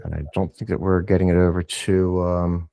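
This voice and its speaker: A man's voice: deep voice, little pitch movement, moderate pace, gravelly, warm tone, no echo. Session host, male, easygoing guiding delivery over a video call. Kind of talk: casual business video call.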